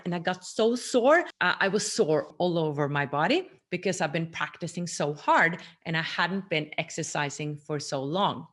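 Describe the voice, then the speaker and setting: A woman's voice: low voice, a bit bright. A woman in her forties talking earnestly to camera in a personal teaching monologue, recorded seated in a small room at home. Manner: earnest, gestures a lot with her hands. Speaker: vlogger.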